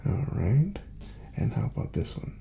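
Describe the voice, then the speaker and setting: A man speaking softly and breathily in an ASMR doctor roleplay, often slipping into a whisper as he gives gentle, reassuring, step-by-step instructions. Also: mid-range voice, very animated, normal speed, creaky, slight background noise. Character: calm, courteous male doctor examining a patient. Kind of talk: soft-spoken ASMR medical exam roleplay.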